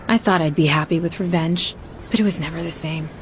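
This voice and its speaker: A woman's voice: low-pitched, a little fast, a little hiss or hum, lightly acted. A woman acting a hardened, embittered gang lieutenant in a video game, blunt and jaded. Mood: bitter, wistful.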